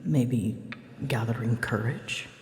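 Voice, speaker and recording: A woman playing an ancient, proud, wounded female dragon in an ASMR roleplay, speaking in a breathy, often whispered voice with grave, halting pauses. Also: extremely low pitch, a little mellow. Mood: pained, haunted. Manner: slow, halting, grave storytelling with dramatic pauses. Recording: echoey, long reverb, faint noise.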